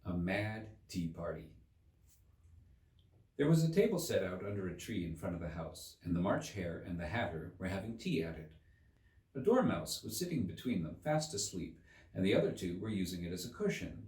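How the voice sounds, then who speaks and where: A man's voice: low-pitched, very animated, normal speed, a little mellow. Male audiobook reader with hugely varied intonation, scripted storytelling, slightly distant roomy sound. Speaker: audiobook narrator. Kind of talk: animated storybook narration.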